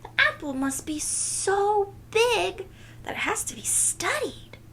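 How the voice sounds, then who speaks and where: A woman as an excitable, high-pitched little girl. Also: slow, slight background noise, exaggerated voice. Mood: amazed. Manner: exclamatory, eager, wide-eyed. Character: excitable, curious little girl out adventuring with her dog. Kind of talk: storybook character voice in a read-aloud.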